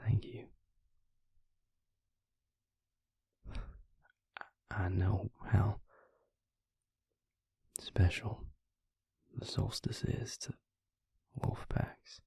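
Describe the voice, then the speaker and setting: A man playing a gentle, devoted vampire lover in an intimate roleplay audio, speaking tenderly and haltingly in a breathy, gravelly near-whisper. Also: low voice, little pitch movement, dry recording, full character voice. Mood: touched, devoted. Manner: slow, tender, halting delivery.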